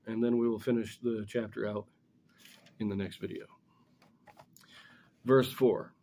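A middle-aged man with a soft-edged, mellow voice at an ordinary male pitch. He teaches scripture informally, reading and commenting in a deliberate, explanatory way, sometimes correcting his own wording. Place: small indoor room in front of a blackboard.

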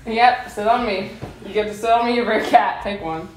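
A woman's voice: medium pitch, steady tone, normal speed. A young adult woman cosplayer chatting casually on a livestream, laughing a lot. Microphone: phone livestream. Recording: echoey room, clear background noise.

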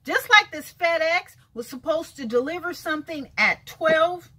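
Sassy 51-year-old woman, high bright voice. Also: a little slow. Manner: sassy, emphatic, repeats lines for effect, calls listeners baby and honey; lively hand gestures while talking. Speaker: vlogger. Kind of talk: casual talking-head rant. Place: bright room with shelves of books by a window, indoors.

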